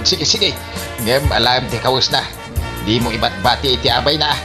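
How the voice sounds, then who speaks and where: A man's voice: mid-range voice, normal speed, music in the background, natural voice. A man with an Ilocano Filipino accent playing a disapproving, scheming older villager in a comedy radio drama. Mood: conspiratorial.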